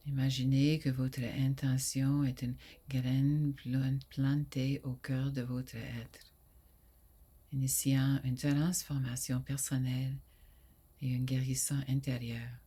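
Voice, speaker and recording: A woman guiding a sleep meditation in a soft, soothing voice that often drops to a breathy near whisper, with long pauses. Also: very deep voice, level tone. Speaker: yoga nidra guide.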